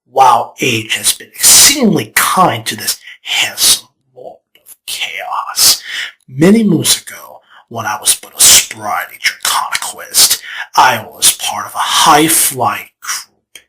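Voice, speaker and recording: Man playing a chaos lord, theatrical, bright tone, lively swoops. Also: medium pitch, takes it slowly, heavily acted, low-quality recording. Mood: nostalgic. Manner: theatrical, showy storyteller. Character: grandiose old male draconequus lord of chaos. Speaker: fan voice actor. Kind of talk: comic fan dub acting.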